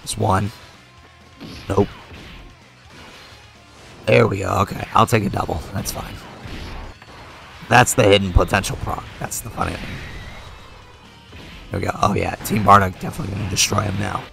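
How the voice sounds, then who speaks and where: Gravelly man commenting on his game. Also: lowish voice, normal speed, pauses often, soft background music. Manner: relaxed, thinks aloud with fillers while playing. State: losing his voice, a little sick. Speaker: mobile game video creator. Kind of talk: casual gameplay commentary.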